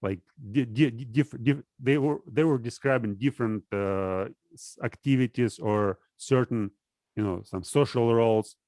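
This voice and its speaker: A man speaks in a middle pitch and breaks off mid-sentence again and again as he gropes for the next word. He restarts phrases, stacks fillers and circles back as he thinks aloud. The sound is dry and close, with no room around it. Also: darkish tone, no room sound. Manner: halting, searching for words, many restarts. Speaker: guest, poet-philosopher. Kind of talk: casual conversation.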